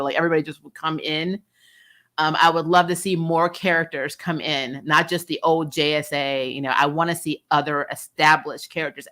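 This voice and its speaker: A woman hosting a casual recap show, blunt and opinionated, retelling scenes in an animated, chatty way with some mild swearing. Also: low voice. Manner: animated retelling, acting out dialogue, prone to chuckling; blunt, opinionated, mildly profane. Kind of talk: casual episode recap and commentary.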